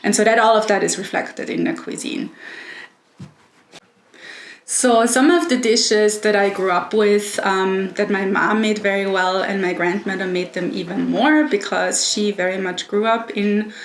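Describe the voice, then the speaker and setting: A woman's voice: mid-range voice, fairly even intonation, measured pace. A middle-aged woman from Austria with an Austrian accent, a native German speaker, explaining things articulately in an informal recorded talk. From Innsbruck, Austria. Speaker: interviewee. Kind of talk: informal recorded talk about personal food history. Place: room at home. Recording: echoey room with faint background noise.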